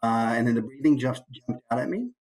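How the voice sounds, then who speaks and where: A man's voice: mid-range voice, lively intonation, fairly quick, a little mellow. A man who works as a voice actor, giving a casual, conversational instructional presentation with easygoing asides.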